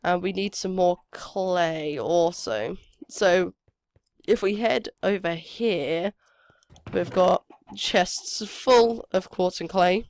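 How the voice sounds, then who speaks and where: A woman's voice: on the low side, takes it slowly, darkish tone, no echo. Sniffly woman thinking aloud over gameplay. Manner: chatty, hesitant, thinking aloud. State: has a cold, sniffly, voice cracking. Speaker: gameplay commentator. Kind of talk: casual game commentary.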